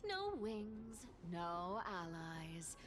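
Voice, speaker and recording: A woman's voice: fairly low pitch, big pitch swings, very slow, full character voice. A woman voicing a playful, cocky cat thief in a video game, theatrically teasing with whispers and giggles. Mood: mocking, gloating. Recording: loud game music behind. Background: game music.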